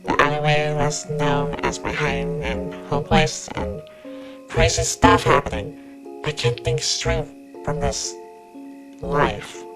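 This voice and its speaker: A low-voiced, guarded young outlaw played by a woman speaks in a curt, brooding way with a protective streak. It is an ASMR roleplay performance, with music playing underneath. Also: takes it slowly, low-quality recording. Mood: weary. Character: tough, guarded young male outlaw gunman.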